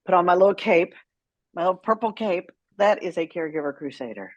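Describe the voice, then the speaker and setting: A woman's voice: fairly low pitch, animated, a little fast, no room sound, muffled recording. A woman speaking as an interview guest, telling her story in a candid, outspoken and emphatic way. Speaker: guest, author and caregiver advocate.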